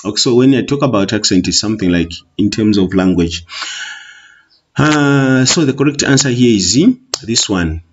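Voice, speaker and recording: A man's voice: on the low side, expressive, slight background noise. A Zambian man with a Tonga-influenced English accent, a Bemba speaker, talking through an exam paper in an online lesson, explaining patiently and repeating "okay" often. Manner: explains slowly, repeats with many okays. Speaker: teacher. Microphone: voice-over on screen recording. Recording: muffled lo-fi recording.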